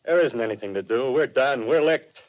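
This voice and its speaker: An actor playing an embittered, scheming militia survivor with feverish plans, ranting in slangy speech in a 1930s radio drama. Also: male voice, mid-range voice, lively intonation, a little fast, natural voice. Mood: bitter, defeated. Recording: muffled vintage 1930s radio broadcast.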